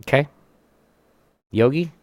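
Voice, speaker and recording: A man's voice: takes it slowly, a little mellow, slight background noise. A man casually hosting a trivia game on a live show, speaking informally and hesitantly as he chats and reads out facts. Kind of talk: casual chat, reading trivia aloud.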